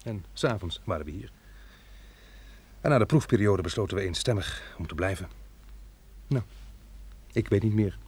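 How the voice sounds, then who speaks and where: An actor playing a steady, resolute man who leads survivors in a radio drama. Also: fairly low pitch, moderate pace, pauses often, husky. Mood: earnest, reflective. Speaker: lead actor and narrator in a radio play.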